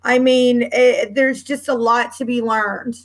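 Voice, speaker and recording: A woman's voice: fairly high pitch, fairly even intonation, slow pace. A woman hosting a casual online book club panel, chatty and rambling, laughing easily, on a home computer mic during a video call.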